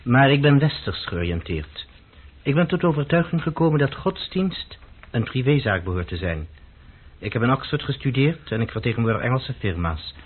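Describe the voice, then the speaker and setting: An actor playing a dignified, serious lawyer of about thirty in a radio play, speaking in a measured, courteous, explaining way. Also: male voice, medium pitch, big pitch swings, husky. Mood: grave, probing. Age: 30s. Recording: dull, muffled old radio recording.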